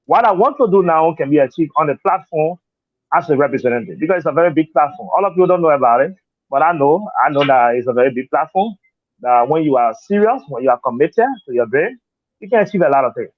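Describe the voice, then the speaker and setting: A man's voice: on the high side, expressive, quick pace, no echo. Liberian man on a tinny phone line. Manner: earnest, repeats words, leans on "you know". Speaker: political candidate. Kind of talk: campaign interview answers.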